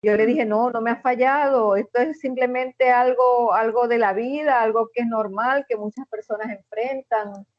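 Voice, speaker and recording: A middle-aged woman with a Venezuelan accent, a guest in an interview, telling a personal story in an earnest, measured way with many "este" fillers. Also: fairly high pitch, steady tone, no room sound, lo-fi sound. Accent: Venezuelan Spanish.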